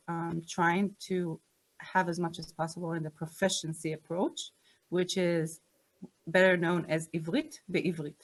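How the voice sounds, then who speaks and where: A woman's voice: lowish voice, a bit bright, poor audio. A woman with an Israeli accent, a native Hebrew speaker, presenting her Hebrew and Judaics classes at a school open house over a video call.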